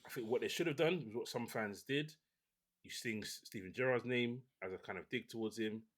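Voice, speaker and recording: A man hosting a casual football podcast, talking in a relaxed, opinionated way with many restarts. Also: mid-range voice, a little fast. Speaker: podcast host.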